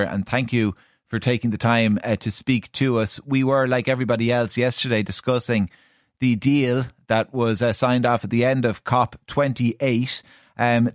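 A man's voice: medium pitch, no room sound, studio quality. A man hosting a radio interview, asking probing, persistent questions. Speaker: radio host.

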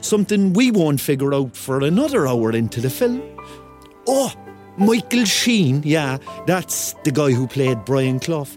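A man's voice: on the high side, big pitch swings, unhurried, darkish tone, soft background music. An actor playing a hapless, self-mocking thirty-year-old man with a Cork accent, telling his story as a rambling, wry confession in a radio drama. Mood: musing. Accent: Cork Irish.

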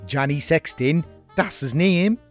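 A man plays a cheeky working-class Cork lad with a high-pitched voice. He spins an indignant comic tale in blunt street slang, with faint music underneath. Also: unhurried, lightly acted. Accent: Irish, working-class Cork. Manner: blunt, colloquial storytelling. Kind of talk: comic scripted monologue.

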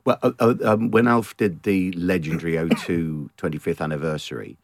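A man with a low voice chats as a guest singer, quick and joking. The sound is dry and close. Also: gravelly, dry recording. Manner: jokey, quick, chatty. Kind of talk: casual interview chat.

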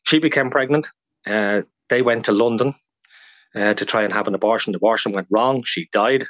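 A man's voice: fairly low pitch, fairly quick, fairly bright tone, no room sound. A man with an Irish accent talking as a guest historian in a radio interview, explaining things informally through anecdotes.

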